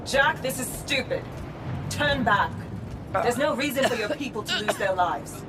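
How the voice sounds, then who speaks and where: An actress playing a stern, fatalistic former ally with an urgent, admonishing manner in a dramatic video game cutscene. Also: female voice, a little high, animated, measured pace, over loud music. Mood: grim warning. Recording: game audio with loud outdoor ambience.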